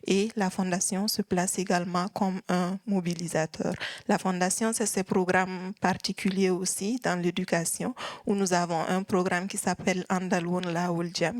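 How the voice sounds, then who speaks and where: A woman in her thirties from the Sahel speaks earnestly and in formal, deliberate wording, giving testimony as an activist on a panel. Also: lowish voice, no room sound. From Sahel region of West Africa. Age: 30s. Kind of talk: public testimony at a panel talk.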